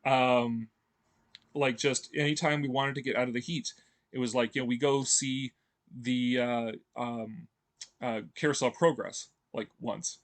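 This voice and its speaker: A man's voice: medium pitch, fairly even intonation, a little slow. A man talking casually as a video host, rambling with many fillers and restarts and chuckling often. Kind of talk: casual enthusiastic commentary.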